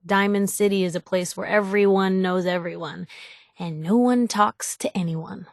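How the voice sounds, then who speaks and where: A woman's voice: medium pitch, fairly even intonation, moderate pace, creaky, no echo, studio quality, natural voice. A woman voicing a sardonic, outspoken young newspaper reporter companion in a video game, in a wry conversational way. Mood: earnest, wry.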